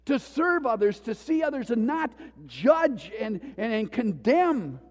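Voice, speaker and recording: A male pastor preaching a sermon with passion, swinging between loud pleas and hushed tones. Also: extremely high pitch, big pitch swings, unhurried, in a large hall. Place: church sanctuary.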